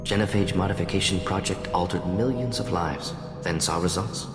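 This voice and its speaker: A man's voice: low voice, normal speed. A male actor playing a proud, conflicted alien scientist in a video game, speaking in clipped, telegraphic phrases with small words dropped. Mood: reflective. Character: fast-thinking alien scientist doctor, proud, conflicted, defensive. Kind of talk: dramatic video game dialogue. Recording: echoey hall reverb, music behind.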